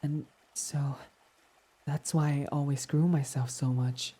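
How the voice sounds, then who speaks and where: A man voicing a devoted, submissive fox boy servant in an intimate ASMR roleplay, reverent, hesitant and breathy. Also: on the high side, flat delivery, takes it slowly. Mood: meek, reverent. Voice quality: soft, breathy. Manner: reverent, self-deprecating, hesitant, breathy half-whispers.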